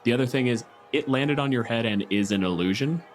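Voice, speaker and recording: A man's voice: mid-range voice, a little fast, soft background music. A man co-hosting a podcast as game master, refereeing a tabletop game in casual talk.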